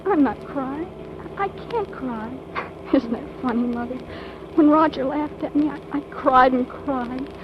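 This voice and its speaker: A woman's voice: high-pitched, unhurried. A young woman playing a theatrical, wounded, impulsive teenage girl in a 1940s radio drama, with breathless, melodramatic exclamations. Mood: anguished. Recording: muffled, noisy old radio broadcast recording.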